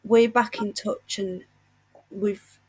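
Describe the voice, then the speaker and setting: A woman's voice: mid-range voice, fairly even intonation, slow pace, husky, poor audio. Woman livestreamer, gossipy and indignant, under the weather. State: unwell with a painful chest. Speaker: livestream host. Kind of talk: casual livestream chat.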